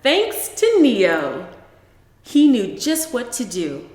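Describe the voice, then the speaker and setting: Casting: woman to play proud, reassuring father in a children's tale; slowish, roomy echo. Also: fairly high pitch, wildly swooping pitch, a little mellow, voice pushed up, full character voice. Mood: proud, calm. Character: calm, proud, good-humoured father. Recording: roomy echo with long reverb.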